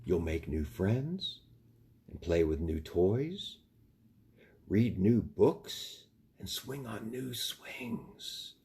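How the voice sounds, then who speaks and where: A man voicing a gentle, loving mother raccoon in a storybook, reassuring her child softly and tenderly. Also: fairly low pitch, sing-song, gravelly, a little hiss or hum, full character voice. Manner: soft, tender, unhurried reassurance.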